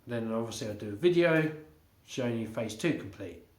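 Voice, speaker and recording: A man vlogging a casual home update, a little congested from illness, rambling with halting restarts and fillers. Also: medium pitch, expressive, a little mellow. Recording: echoey room.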